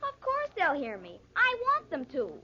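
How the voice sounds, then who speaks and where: Cocky scheming boy, high swooping voice, 1940s radio drama, muffled with crowd behind. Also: wildly swooping pitch, normal speed, heavily acted. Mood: mocking. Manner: mocking, haggling, insolent. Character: sly, greedy, cocky young boy, teasing his uncle.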